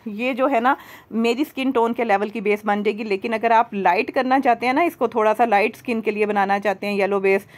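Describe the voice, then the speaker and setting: A woman's voice: a little high, steady tone, brisk. A woman with a Pakistani accent hosting a makeup tutorial in a chatty, conversational way, explaining things step by step. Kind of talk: instructional demo voice-over, conversational.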